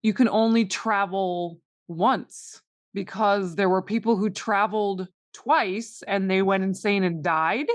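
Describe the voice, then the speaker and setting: A woman's voice: mid-range voice, unhurried, no echo, clean studio sound. A woman co-hosting a podcast, talking casually and thinking aloud in a questioning way. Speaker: podcast co-host. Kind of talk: casual discussion, summarising a plot.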